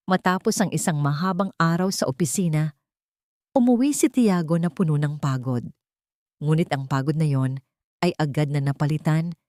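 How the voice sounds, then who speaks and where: A woman's voice: low-pitched, darkish tone, no room sound, clean studio sound. A woman reading a scripted dramatic story as narrator, with emotive delivery.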